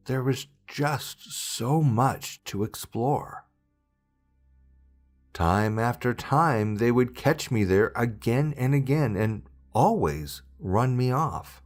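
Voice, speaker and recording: A man reading a scary story as scripted narration, in a dramatic storytelling manner with deliberate pauses. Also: medium pitch. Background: background music.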